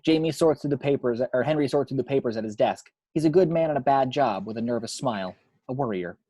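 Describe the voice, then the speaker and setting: A man's voice: mid-range voice, fast, warm tone, breathy edge, dry recording. A man reading screenplay action and scene headings as narrator for a table read at home. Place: at home, dry close recording.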